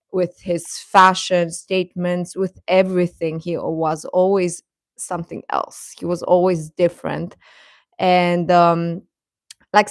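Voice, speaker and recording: A woman hosting a casual, opinionated live chat, speaking haltingly and defensively with repeated words. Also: lowish voice, no echo, studio quality. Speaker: livestream host and commentator.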